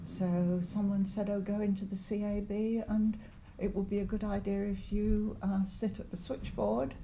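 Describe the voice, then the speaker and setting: An older English woman in her seventies or beyond, reminiscing in an interview in a measured, halting way with pauses. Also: medium pitch, level tone, vocal fry, warm tone, slight background noise, rough recording. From Lancashire, northwest England. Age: 70s or older. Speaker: interviewee, longtime community volunteer. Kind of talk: oral history interview, reminiscing.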